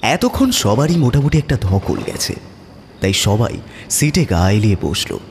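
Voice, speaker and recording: A man's voice: on the low side, sing-song, measured pace, a little hiss or hum. A man narrating a scripted horror story as a dramatic storyteller.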